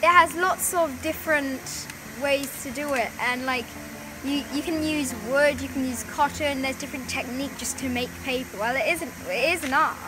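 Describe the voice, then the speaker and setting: A woman with a high, bright voice chats casually and enthusiastically, filling her talk with "like". She is recorded outdoors, with clear ambient street noise around her. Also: moderate pace. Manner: enthusiastic, uses "like" often. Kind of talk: casual chat.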